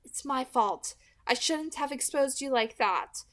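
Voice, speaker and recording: A woman voicing a calm, protective young female vampire in an audiobook, reassuring and explanatory. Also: high voice, unhurried, voice pushed up. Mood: soft, explaining.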